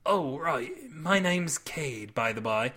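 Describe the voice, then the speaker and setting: A man acts out a scruffy young-at-heart sailor hero from a comic storybook. He is eager, gallant and brash, with a highish, bright, forceful voice that exclaims freely. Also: lively intonation, takes it slowly, full character voice. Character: brash, scruffy, lovestruck male sailor adventurer.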